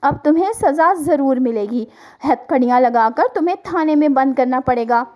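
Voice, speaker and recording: A woman's voice: sits high, moderate pace, a little mellow, close to an everyday voice. A woman voicing a stern male police inspector bird in a children's cartoon story, blunt and authoritative.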